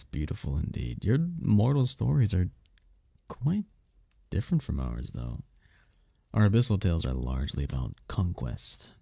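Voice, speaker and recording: A man voicing a seductive, possessive demon prince in an ASMR roleplay, with a husky, gravelly voice and a regal, flirtatious manner. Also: rumbling low, a little mellow, no echo, studio quality, heavily acted. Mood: musing, charmed. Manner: regal, slow-savouring, flirtatious.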